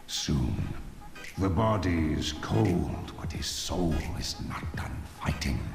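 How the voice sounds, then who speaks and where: An actor playing a menacing, gloating male demonic villain with slow, theatrical delivery in a video game cutscene. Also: a bass voice, big pitch swings, vocal fry, full character voice. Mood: sinister, reverent. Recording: echoing hall reverb, loud game music.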